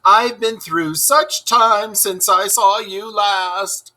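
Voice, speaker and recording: A man voicing a vain, self-pitying male toad with dramatic, drawn-out delivery in a children's storybook read-aloud. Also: high-pitched, bright, forceful tone, voice pushed up, exaggerated voice, poor audio. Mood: dramatic relief.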